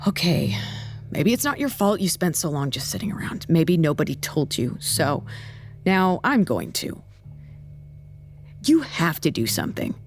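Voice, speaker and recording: A low-pitched woman speaks with weary, blunt candor in an audio drama. She is sardonic and halting, dishing out tough love to a younger colleague. Music plays clearly behind her. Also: big pitch swings, full character voice. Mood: blunt, urging. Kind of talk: naturalistic audio drama dialogue.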